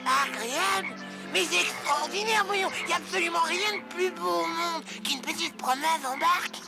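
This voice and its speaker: Bossy friendly boy river rat, high gravelly voice, cartoon dub, loud music under it. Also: moderate pace. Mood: enthusiastic. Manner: exclamatory, chummy, self-assured. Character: breezy, bossy, kindly male river rat. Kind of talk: French animated film dub.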